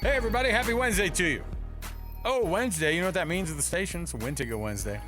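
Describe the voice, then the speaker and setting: A man hosting a sports radio show, talking casually in an animated, enthusiastic, conversational way. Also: sits high, very fast, over music.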